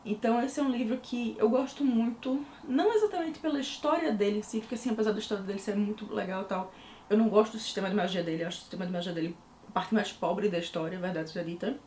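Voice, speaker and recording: A woman's voice: mid-range voice, quick pace, a little mellow. Chatty recife girl w pernambuco accent, lots of fillers, bit of room echo, faint hiss. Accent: Recife Pernambuco Portuguese. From Recife, Pernambuco, Brazil. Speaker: book reviewer. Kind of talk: casual book review vlog. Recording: echoey room.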